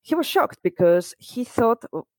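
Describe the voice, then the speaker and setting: A woman's voice: on the high side, very animated, measured pace, a little mellow, no echo. A middle-aged woman from Spain, a native Spanish speaker, chatting casually and telling stories by acting out what people said. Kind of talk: casual chat.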